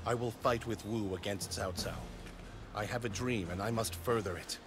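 A man playing a humble, idealistic warlord in a video game, speaking formally and with measured solemnity. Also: lowish voice, natural voice. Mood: resolute. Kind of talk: dubbed video game cutscene acting. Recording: light game music behind.

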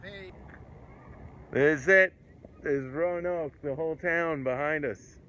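A man's voice: fairly high pitch, slow pace, pushed and bright. A man in his thirties narrating a casual tour vlog into a phone camera, joking and using fillers. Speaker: band member vlogging a tour. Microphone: phone camera at arm's length. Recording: noisy handheld phone audio.

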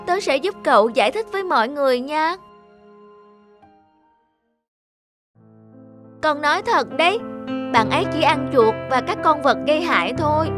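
A woman's voice: high voice, lively intonation, brisk, music in the background. A woman voicing a kind, earnest little schoolboy in a cartoon, with a sincere, pleading manner. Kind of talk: Vietnamese cartoon voice acting.

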